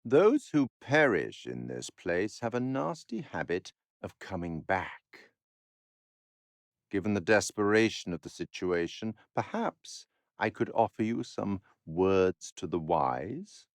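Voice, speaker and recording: An actor playing a refined, sardonic and ominous male curator of macabre tales, narrating a horror game in a measured, dramatic, dryly mocking way. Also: sits low, big pitch swings, no room sound, clean studio sound, heavily acted. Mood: ominous, cryptic. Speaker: narrator and host figure of a horror video game. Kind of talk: theatrical scripted game narration.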